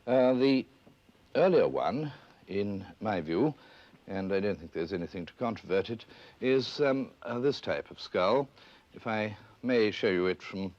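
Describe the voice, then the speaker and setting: A middle-aged English man, a scholar being interviewed, explaining in a halting, hesitant but precise manner. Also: fairly low pitch, expressive, a little mellow. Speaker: academic anthropologist being interviewed. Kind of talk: unscripted expert explanation. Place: stone church crypt. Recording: dull, band-limited archive film sound.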